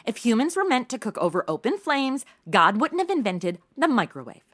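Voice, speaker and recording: Woman acting as brash modern young woman, sarcastic, slangy, very animated, high-pitched, comedic audio drama. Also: normal speed. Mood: whiny, musing.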